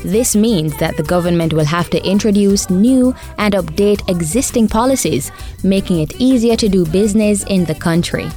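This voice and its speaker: A woman presenting a short radio news feature in a clear, measured, formal way. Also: mid-range voice, expressive, warm tone, soft background music. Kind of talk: scripted news feature reading.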